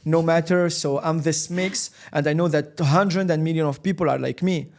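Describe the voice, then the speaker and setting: A man's voice: on the high side, steady tone, moderate pace, darkish tone. A man from Tunisia, a podcast guest, speaking earnestly in an interview, often repeating words as he builds his point. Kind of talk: casual interview talk.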